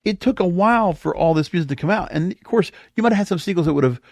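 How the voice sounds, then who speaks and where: Male podcast host, opinionated and rambling, very lively intonation, close dry sound. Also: sits high, fast talker, no room sound. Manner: emphatic, rambling, repeats himself. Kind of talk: opinionated solo podcast commentary.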